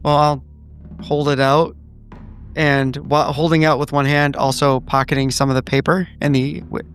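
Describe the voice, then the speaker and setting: A man with a slightly high voice plays a tabletop roleplaying game. He narrates his character's moves in a casual way, with soft music behind him. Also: flat delivery, normal speed.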